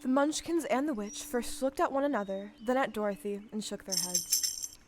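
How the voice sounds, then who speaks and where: A woman playing a measured, gentle storybook narrator in a scripted audio play. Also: on the high side, expressive. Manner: measured, clearly phrased.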